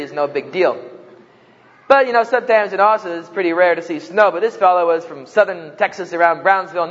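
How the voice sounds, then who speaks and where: A man with a New Jersey accent speaks to a campus audience in a fairly high voice, telling jokes and stories. The sound is dull and carries a long echo from the hall around him. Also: fairly bright tone. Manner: joking storyteller, acts out quoted voices. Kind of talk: humorous public talk.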